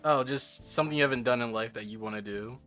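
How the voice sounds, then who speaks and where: A man's voice: medium pitch, quick pace, fairly bright tone, soft background music. A man hosting a casual interview, chatting in a laid-back, agreeable way and laughing easily. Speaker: interviewer, host.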